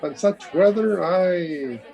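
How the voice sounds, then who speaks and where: A woman's voice: fairly low pitch, slow pace, creaky, dark tone. A woman playing a gossipy, nosy older hotel guest in an old-fashioned radio drama, chatty and fussy. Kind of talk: 1940s radio drama acting. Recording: faint chatter behind, video-call performance.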